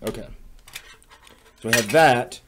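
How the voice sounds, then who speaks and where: A man thinking aloud in a casual, halting, tentative way as he works with his hands on a DIY project. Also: mid-range voice. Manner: muttering to himself, tentative, halting. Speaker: host of a DIY workshop vlog. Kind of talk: casual thinking-aloud commentary while working. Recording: loud power drill and tool noise. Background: power drill, tool clicks.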